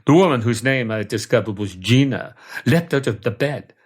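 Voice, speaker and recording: A Welsh man telling a comic first-person story in a deadpan, wordy way. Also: medium pitch, normal speed, breathy edge.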